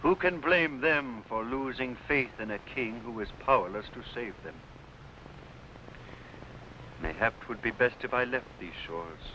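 A grave Saxon king in hiding for a vintage costume drama. His voice is forceful and his phrasing solemn and theatrical. The old soundtrack carries faint noise. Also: medium pitch, measured pace, low-quality recording. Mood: sorrowful, resigned. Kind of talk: 1950s television costume drama acting.

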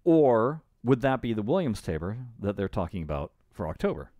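A man's voice: on the low side, very animated, a little fast, warm tone, no room sound. A middle-aged male podcast co-host in casual, speculative chat, thinking aloud, recorded close on a microphone in a small room. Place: small home room, indoors. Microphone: close boom-arm podcast microphone.

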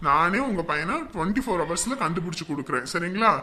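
A self-assured figure who soothes others with firm promises. His voice is bright and mid-pitched, with a faint hiss in the background. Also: animated, fast. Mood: reassuring. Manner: firm, reassuring, dismissive. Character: reassuring man, confident and brusque. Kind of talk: TV serial drama.

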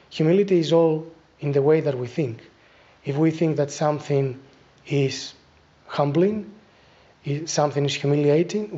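Mellow Greek-accented preacher, a man. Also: fairly high pitch, highs cut off. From Greece. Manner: deliberate, instructive, with pauses. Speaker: Orthodox abbot giving a talk. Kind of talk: spiritual talk, reflective preaching. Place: small church, indoors at a lectern.

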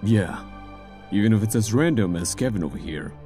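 Guy doing deep mellow loyal bodyguard to royalty, stoic deadpan, formal, game parody. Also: moderate pace, natural voice. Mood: loyal, dutiful. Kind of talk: abridged game parody dub. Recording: background music under voice.

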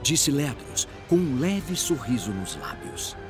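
A man's voice: medium pitch, huge pitch swings, takes it slowly, over music. A man with a Brazilian accent delivering solemn, theatrical scripted story narration.

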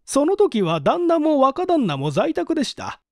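A man's voice: very high voice, wildly swooping pitch, measured pace, dry recording, clean studio sound, pitched above the performer's own voice, full character voice. A man voicing a polite village housemaid in her fifties who gives testimony in a mystery audiobook. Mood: polite, matter-of-fact. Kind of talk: audiobook reading of a vintage mystery.

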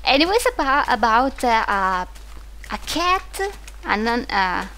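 A young woman whose first language is Italian talks over her gameplay in a loose, rambling way, full of restarts and fillers, with a clear Italian accent. Her voice sits a little high, and a faint hiss runs under the recording. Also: sing-song, takes it slowly, bright, forceful tone. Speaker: gaming video host. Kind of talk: casual commentary while playing.

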